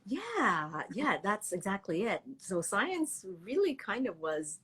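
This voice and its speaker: A woman's voice: medium pitch, huge pitch swings, takes it slowly, pushed and bright, breathy edge. A middle-aged woman, a children's book author whose first language is Cantonese, chatting animatedly and laughing often in a live interview. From Canada. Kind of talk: casual live interview chat.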